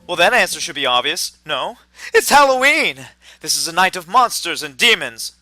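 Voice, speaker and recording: Hammy radio play gent, high piercing voice, swoops around a lot. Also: male voice, very animated, normal speed, sharp tone, no room sound, heavily acted. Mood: grandiose. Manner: theatrical, exclamatory. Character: theatrical, eccentric, excitable noir radio drama gentleman. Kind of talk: hammy radio drama acting.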